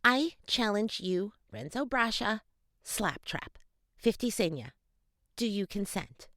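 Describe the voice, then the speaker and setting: A woman's voice: medium pitch, very animated, slow, fairly bright tone, no echo, studio quality, voice pushed up. A woman voicing a proud, fiery, wounded teenage girl in a young adult fantasy audiobook. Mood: defiant. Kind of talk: audiobook character dialogue.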